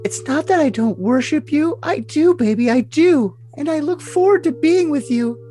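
A man's voice: very high-pitched, expressive, moderate pace, warm tone. A man playing a clingy, anxious, lovestruck young woman in an overly dramatic comic reading of texts, with a sugary, melodramatic delivery. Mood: anxious, pleading. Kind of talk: overly dramatic comedic reading of text messages.

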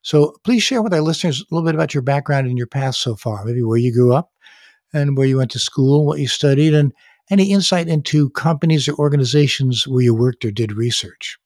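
A man's voice: mid-range voice, animated, a little fast, a little mellow, dry recording, studio quality. A man hosting a podcast, reading a polished guest introduction and asking interview questions. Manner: polished, reads guest bio. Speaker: podcast host.

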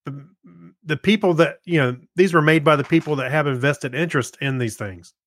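A man's voice: fairly high pitch, fairly quick, fairly bright tone. A man co-hosting a podcast, reading news and bantering in a loose, jokey, amused manner. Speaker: podcast co-host.

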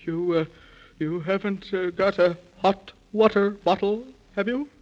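A quaking talking tree: high, quavery, stuttering grumbler for vintage children's radio, muffled lo-fi sound. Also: male voice, fairly even intonation, a little slow, a little mellow. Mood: shivery, hesitant. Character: shivering, stammering talking aspen tree, good-humoured grumbler. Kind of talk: 1930s children's radio serial acting. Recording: dull lo-fi 1930s radio recording.

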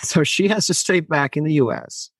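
A man's voice: fairly high pitch, expressive, normal speed, a bit bright, husky, no echo. A man from Finland, a native Finnish speaker, telling a long personal story on a podcast, acting out quoted lines and laughing often. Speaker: podcast guest. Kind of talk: casual storytelling interview.

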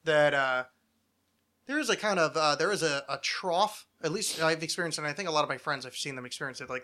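A man's voice: on the high side, bright, forceful tone. A man in his thirties chatting casually and ramblingly as a podcast host, with a wry, mock-dramatic, self-deprecating manner.

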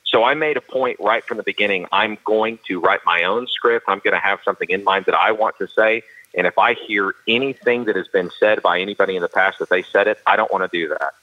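A man with a carrying baritone voice, a professional auctioneer giving earnest, detailed interview answers with frequent restarts. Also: fairly quick, muffled recording. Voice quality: baritone voice that carries. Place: studio.

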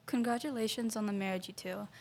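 A woman's voice: medium pitch, steady tone, fast, vocal fry, a little mellow, close to an everyday voice. A woman playing a sombre comic book hero in a youth audio play, with faint room noise. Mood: wistful.

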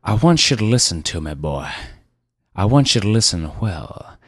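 A man voicing a boorish, overbearing heavyset car dealer who talks loudly and bossily in a drawl. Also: on the low side, big pitch swings, normal speed, husky, no echo, studio quality. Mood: bossy. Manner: loud, bossy, drawling.

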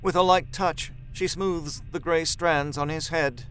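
Higher-voiced man narrating horror over music. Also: fairly even intonation, measured pace, a bit bright. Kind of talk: scripted narration of horror fiction.

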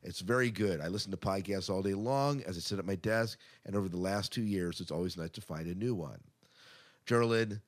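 A man hosting a podcast in a chatty, casual way, part scripted and part off the cuff, with upbeat announcing. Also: low-pitched. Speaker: podcast host.

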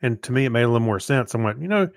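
A man's voice: mid-range voice, expressive, racing, no echo, clean studio sound. A man co-hosting a podcast, talking in a casual, conversational way. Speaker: podcast co-host.

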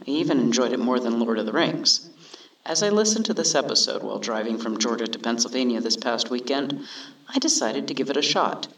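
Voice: mid-range voice, fairly bright tone. A speaker reading a listener's letter aloud, recorded at a distance from the microphone.

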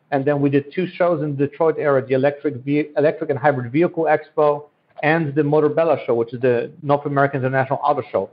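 An earnest man from Central Europe, a native Polish speaker, speaks in a slightly high voice. He pitches his points with promotional zeal and returns to the same phrases. The recording sounds dull and lo-fi. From Central Europe, born in Poland, raised in Germany. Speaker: economic development guest. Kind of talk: podcast interview answers. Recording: dull, lo-fi audio.

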